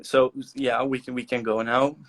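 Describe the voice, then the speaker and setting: Young man, halting answers. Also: medium pitch, flat delivery, fairly bright tone. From Albania. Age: young adult. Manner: halting, many "uh" and "um" pauses. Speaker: guest. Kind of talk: casual interview answers. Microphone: video call.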